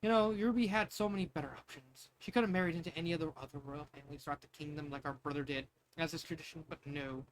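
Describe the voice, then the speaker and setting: Man reading as a deadpan, scornful royal sister-in-law. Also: fairly high pitch, quick pace, hardly any pauses, slight background noise, close to an everyday voice, poor audio. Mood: disdainful. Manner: dry, disdainful.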